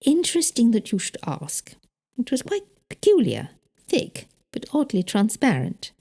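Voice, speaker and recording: A woman's voice: fairly high pitch, huge pitch swings, measured pace, dark tone, pitched above the performer's own voice, exaggerated voice. A woman voicing a prim, indignant older married lady with a British accent, emphatic and precise, in an audiobook. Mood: precise, guarded. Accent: British English. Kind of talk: audiobook character dialogue.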